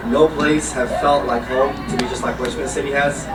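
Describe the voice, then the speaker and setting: A man's voice: medium pitch, steady tone, moderate pace. A man from Iraq, a police recruit, giving an earnest, informal testimonial and repeating his phrases. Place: community soccer event. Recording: distant, echoey, very noisy location sound. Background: loud ambient noise.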